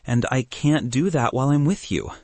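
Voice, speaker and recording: A man voicing a torn, remorseful young woman in an audiobook, halting and earnest. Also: mid-range voice, expressive, darkish tone, dry recording.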